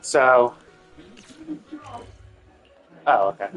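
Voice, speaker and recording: A man's voice: mid-range voice, unhurried, lo-fi sound, soft background music. A man livestreaming a game with casual commentary, explaining his opinions in a rambling, repetitive, mildly exasperated way. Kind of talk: casual live stream commentary.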